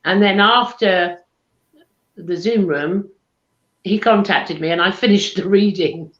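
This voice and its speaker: A woman's voice: mid-range voice, takes it slowly. A woman with a posh southern English accent, chatting casually as co-host of a live chat show, calling people darling. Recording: echoey room, dull lo-fi sound.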